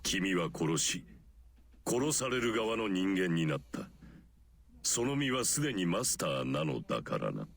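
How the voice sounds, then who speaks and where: Menacing, all-knowing priest for a visual novel: low, creaky voice, expressive range, oracular and solemn. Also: male voice, brisk, background noise, heavily acted. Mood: ominous warning. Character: ominous, knowing priest, grown man, gravely taunting. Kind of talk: visual novel game voice acting. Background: game music.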